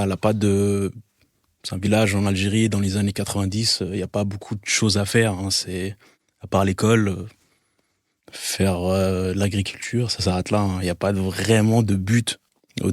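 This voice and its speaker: A man in his thirties from Algeria talks as a guest in a relaxed interview, often stopping and restarting his sentences. His voice sits low. The sound is dry, with almost no room around it. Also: dry recording. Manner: hesitant, many fillers and restarts. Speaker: guest, photographer. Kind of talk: casual interview talk.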